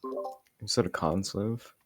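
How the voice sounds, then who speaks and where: A man's voice: fairly low pitch, slow, fairly bright tone, no room sound, soft background music. A man chatting casually as a player in a tabletop game.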